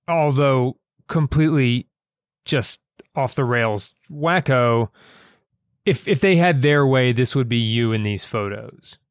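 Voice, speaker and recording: A man hosting a casual discussion of his own writing, speaking in an earnest, somewhat halting way. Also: medium pitch, smooth, no room sound, studio quality. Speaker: host and writer.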